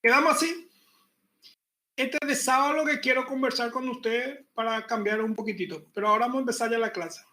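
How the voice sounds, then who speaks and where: A man teaching an online university class, relaxed and chatty, repeating his points and joking with the students. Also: very high-pitched, brisk. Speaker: university pathology lecturer. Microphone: computer mic on a video call.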